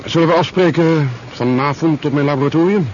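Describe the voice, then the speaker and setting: An actor playing a genial scientist doctor in a 1970s radio drama, courteous and dryly ironic. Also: male voice, on the high side, brisk, darkish tone, lightly acted. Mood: businesslike. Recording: muffled, noisy 1970s radio drama tape.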